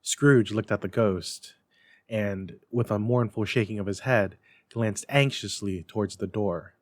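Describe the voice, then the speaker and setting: A man giving a theatrical, expressive dramatic reading as a storyteller and host. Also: lowish voice, unhurried, dry recording, studio quality. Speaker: library staff storyteller and host. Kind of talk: dramatic scripted narration.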